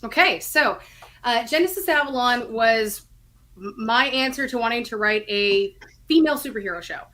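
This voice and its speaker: Bright, high-voiced woman, chatty guest. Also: expressive, normal speed. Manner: chatty, enthusiastic explaining. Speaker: guest, audio drama writer and co-creator. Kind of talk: casual interview talk.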